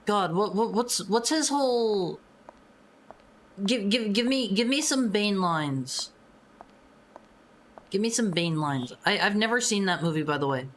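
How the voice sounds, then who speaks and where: A woman's voice: medium pitch, lively intonation, unhurried, long pauses, slight background noise. A woman streaming a game, chatting casually and calling out short commands.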